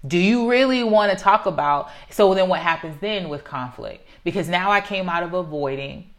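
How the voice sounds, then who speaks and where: A woman's voice: lowish voice, expressive, moderate pace. A woman in her thirties talking earnestly and reflectively in a casual conversation, sometimes acting out the voices she quotes. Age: 30s.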